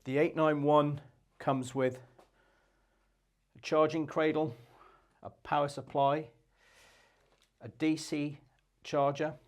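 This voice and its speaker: A man's voice: a little high, flat delivery, slow, pauses often. Matter-of-fact man presenting products. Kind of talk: unscripted product review presentation.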